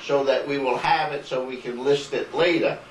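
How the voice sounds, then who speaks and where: A man's voice: medium pitch, steady tone, normal speed. Middle aged guy asking his mom stuff, patient, bit rambly, roomy echo, mic far off. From Rhode Island. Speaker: son interviewing his mother. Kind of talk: casual family interview. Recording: echoey home video, distant mic, hiss.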